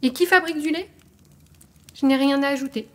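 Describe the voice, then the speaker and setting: A high female voice from an actress plays a stuffy resort official in a comic audio serial. She talks in formal, pedantic phrases and stays evasive and defensive. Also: fairly quick, a bit bright. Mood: defensive, pompous. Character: officious, defensive ski-resort legal representative, a stuffy woman. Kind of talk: scripted comedic audio drama.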